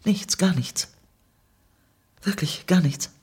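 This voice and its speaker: A woman's voice: lowish voice, slow, a little mellow, breathy edge, voice pushed up, full character voice. A woman voicing a lovesick, guilty woman in a dramatic story reading, pleading with breathless emotion. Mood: evasive, nervous. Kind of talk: dramatic fan fiction reading.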